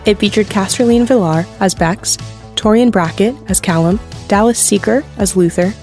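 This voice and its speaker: A woman reads the end credits of an audio drama in a plain, even way. Her voice sits mid-range, with soft music running underneath. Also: mid-range voice, normal speed. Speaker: credits announcer. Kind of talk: read credits and sponsor message.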